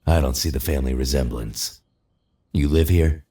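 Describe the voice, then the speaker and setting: A man playing a gruff superhero loner, menacing yet flirtatious, with a rough gravelly voice in an audio drama. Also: a bass voice, normal speed, warm tone. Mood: flirty.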